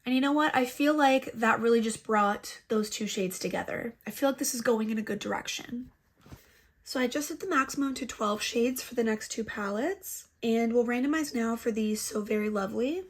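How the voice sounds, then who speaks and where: A woman vlogger talks through a makeup tutorial in a chatty, self-deprecating way, her voice a touch high and audibly stuffed up from congestion. She sounds like she is at home, recorded on a laptop microphone. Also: moderate pace. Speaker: beauty vlogger. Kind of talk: casual makeup tutorial chat. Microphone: laptop built-in mic.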